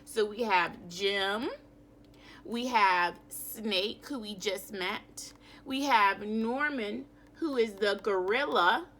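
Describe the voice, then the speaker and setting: A woman teacher giving a children's read-aloud lesson in an expressive, instructive way. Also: medium pitch, slow, slight background noise. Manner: expressive, instructive, asks questions of pupils.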